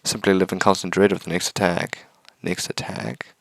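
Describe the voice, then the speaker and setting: A man's voice: deep voice, level tone, moderate pace, gravelly, dry recording. A man hosting a gaming video, reading a visual novel aloud with casual asides and stumbling over words now and then.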